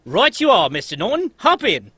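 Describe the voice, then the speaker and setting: A man playing hurried Victorian cab passengers and drivers in a brisk, urgent radio drama style. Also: high voice, huge pitch swings, bright, forceful tone, husky, dry recording, exaggerated voice. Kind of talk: old-time radio drama script read live.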